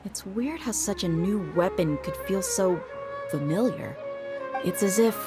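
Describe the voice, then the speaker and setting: A woman's voice: medium pitch, animated, a little slow, lightly acted. A woman voicing a young, self-assured mercenary swordfighter in an anime video game, direct and protective. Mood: reflective. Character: confident, protective young female mercenary swordfighter, brisk and frank. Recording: background music behind the voice.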